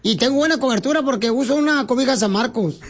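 A man putting on a goofy, flirtatious, naive male caller with a Mexican accent, talking in cheeky slang and deadpan wordplay on a prank call. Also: very high voice, fast. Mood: silly, cheeky.

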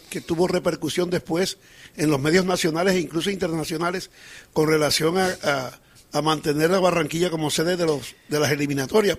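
A man who is a sports journalist with a Colombian Caribbean accent, telling a rambling anecdote with many restarts. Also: fairly high pitch.

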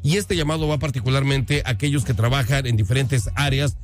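A man hosting a live radio show, speaking upbeat and emphatic with courteous, drawn-out announcer phrasing. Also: medium pitch. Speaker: radio host. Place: radio studio. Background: music playing under the voice.

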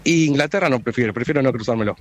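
A man from Argentina with an Argentine accent, a football fan talking in a relaxed, slangy way. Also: mid-range voice, very fast, no echo, lo-fi sound. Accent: Argentine Spanish.

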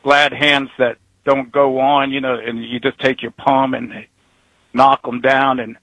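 A man's voice: mid-range voice, level tone, unhurried, a bit bright, no room sound, rough recording. Rambling folksy man in his sixties. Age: 60s. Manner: rambling, folksy, chuckles between thoughts. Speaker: retired truck driver caller. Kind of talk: casual phone-in chat.

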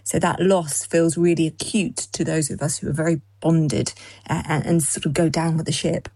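A woman psychologist in a radio interview, explaining things in a measured way. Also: deep voice, fairly even intonation, mellow, breathy edge, no room sound. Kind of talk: interview answers. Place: London.

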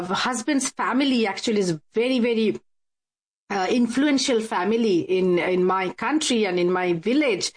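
A woman speaking as an interview guest, explaining her support work in a halting, hesitant way as she searches for words. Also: medium pitch. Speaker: guest, support worker for abuse victims. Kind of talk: radio interview, explaining from casework.

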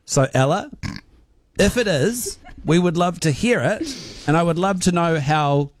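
A man's voice: on the high side, animated, a little slow. A man hosting a casual talk show, moderating with probing questions. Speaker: host.

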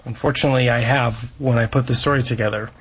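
A man's voice: medium pitch, steady tone, fast talker, fairly bright tone, breathy edge. A man co-hosting a radio show, laughing easily and trading jokes. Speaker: radio co-host. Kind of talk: casual radio chat. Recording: lo-fi radio audio with faint noise.

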